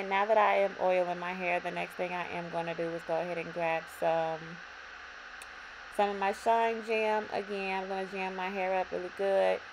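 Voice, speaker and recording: A young woman with a slightly raspy voice, calmly talking viewers through a hair braiding tutorial in her bedroom. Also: fairly low pitch. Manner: relaxed, repetitive instructions addressing viewers. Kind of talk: step-by-step tutorial narration. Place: bedroom, indoors. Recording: audible background noise.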